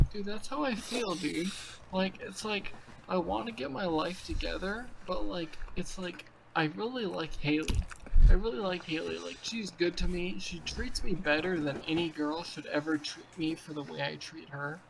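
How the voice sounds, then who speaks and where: A man's voice: high-pitched, normal speed, vocal fry, background noise. Rambling, profane young man in his twenties. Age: 20s. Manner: rambling, profane, filler-heavy. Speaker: podcast co-host. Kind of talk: crude casual chat. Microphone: video call.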